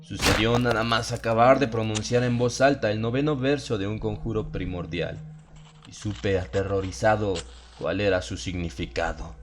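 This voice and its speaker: A man with a fairly low voice reads a gothic horror tale. His delivery is solemn and brooding, like a dramatized audiobook, with music playing behind him. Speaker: audiobook narrator.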